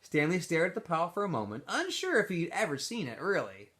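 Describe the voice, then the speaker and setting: A man with a slightly high voice reads a story aloud to students. His delivery is very animated in pitch and expressive, with casual asides to the listeners. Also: brisk. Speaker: teacher reading aloud to students.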